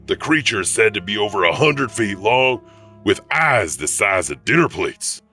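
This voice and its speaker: An actor playing an eerie, teasing horror anthology host, narrating in a sly, theatrical, ominous way. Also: male voice, on the low side, sing-song, normal speed, bright, forceful tone, soft background music. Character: eerie, teasing male horror-tale host who chuckles. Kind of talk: theatrical spooky scripted narration.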